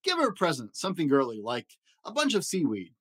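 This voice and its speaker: A man's voice: a little high, wildly swooping pitch, fairly quick, no echo, studio quality. Man as a tough sarcastic storybook lobster. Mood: advising. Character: hard, tough, sarcastic lobster, bluntly helpful.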